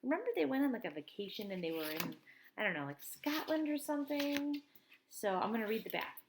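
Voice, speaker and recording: A woman in her thirties, a teacher reading a children's chapter book aloud from home into a webcam, with lively read-aloud delivery and quick asides. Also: fairly high pitch, slight background noise. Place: small room at home. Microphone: webcam at desk.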